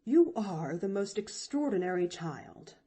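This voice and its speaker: A woman's voice: lowish voice, big pitch swings, measured pace, dark tone, husky, heavily acted. A woman reading an audiobook, voicing a stern, impatient older aunt with a cold, clipped manner.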